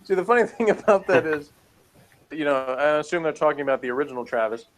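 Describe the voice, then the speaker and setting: A man's voice: a little high, fast. A man chatting casually as a reviewer, giving his opinions on an episode in a rambling way.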